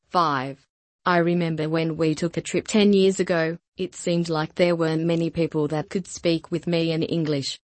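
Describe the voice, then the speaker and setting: A woman with a somewhat low voice reads lesson sentences with slow, even care, repeating each one for learners. The sound is close and dry, with no room around it. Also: no room sound. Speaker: language lesson reader. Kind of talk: scripted repeat-after-me drill.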